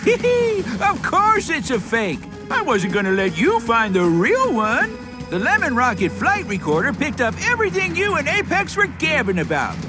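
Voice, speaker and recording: A man voicing a chirpy, giggly older inventor in an animated game, spry and confident in manner. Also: very high-pitched, moderate pace, music in the background, heavily acted. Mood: triumphant, fatherly. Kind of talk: animated game character acting.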